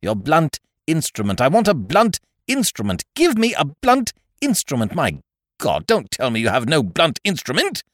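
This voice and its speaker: A man plays a put-upon writer in a comic tale, indignant and bitingly sardonic. His lowish voice swings dramatically as exasperation builds into wordy menace. It sounds close and dry. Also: big pitch swings, moderate pace, dry recording. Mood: exasperated demand. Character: aggrieved male novelist, sardonic, exasperated, theatrically menacing.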